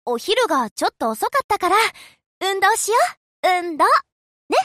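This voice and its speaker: A woman's voice: extremely high pitch, very animated, moderate pace, a bit bright, no room sound, clean studio sound, heavily acted. A woman voicing a cheerful, earnest young warship girl with a girlish, perky, sweetly familiar manner in short Japanese game lines. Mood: friendly, chatty.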